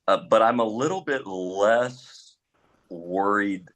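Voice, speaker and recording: A middle-aged man speaking on a discussion panel as an experienced police trainer, in a measured way with pauses and firm opinions. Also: lowish voice, a bit bright. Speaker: panelist, former police officer.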